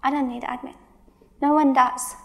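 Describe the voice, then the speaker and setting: A woman's voice: sits high, little pitch movement, normal speed, a bit bright. A woman in her thirties giving a conference talk on stage, emphatic, with exasperated asides. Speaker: conference speaker. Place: large stage in a hall.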